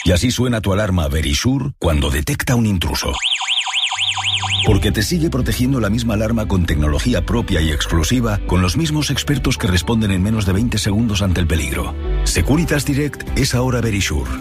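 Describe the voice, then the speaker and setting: A man's voice: lowish voice, big pitch swings, brisk. A man from narrating a scripted radio advert. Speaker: advert voice-over. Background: clear music.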